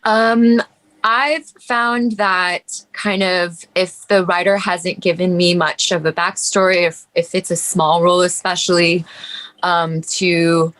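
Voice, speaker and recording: Lower-pitched woman, thoughtful and hesitant. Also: a bit bright. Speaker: actor on a panel. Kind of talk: reflective panel conversation.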